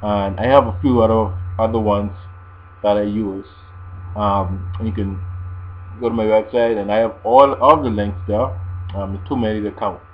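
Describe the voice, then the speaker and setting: A man's voice: lowish voice, steady tone, moderate pace. A man giving casual, explanatory advice to viewers in a language-learning video blog, often repeating his phrases as he goes. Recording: dull lo-fi sound with mains hum.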